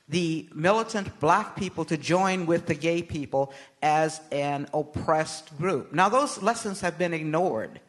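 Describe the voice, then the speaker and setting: An older American woman answering interview questions as a guest, speaking in a measured, emphatic, oratorical way. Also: low voice. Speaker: interview guest, political activist. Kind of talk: live on-stage interview answers.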